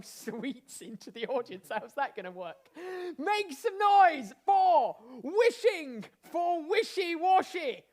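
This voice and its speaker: A woman hosting and narrating an improvised pantomime with playful, excited enthusiasm. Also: high-pitched. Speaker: host directing an improvised pantomime.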